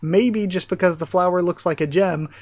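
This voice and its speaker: Guy doing a casual reaction video, slightly high voice, kinda thinking out loud and guessing as he goes. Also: moderate pace. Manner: thinks aloud, speculative, chuckling asides. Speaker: YouTube reaction host. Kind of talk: live reaction, reading comic dialogue aloud with casual commentary.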